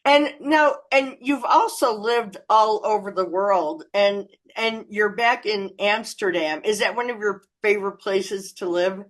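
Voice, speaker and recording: A woman's voice: medium pitch, a little slow, hardly any pauses. An American woman hosting an interview, chatty and effusive, with lots of fillers and laughter in her talk. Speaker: interview host. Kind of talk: casual interview chat.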